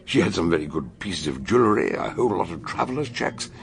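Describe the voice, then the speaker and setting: Casting: eccentric British sleuth doctor, theatrical radio-drama delivery, gravelly, swooping intonation. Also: male voice, deep voice, very animated, quick pace, full character voice. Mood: genial, inquisitive. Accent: British English. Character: eccentric, brilliant male detective doctor, genial and excitable. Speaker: actor playing the detective and narrator.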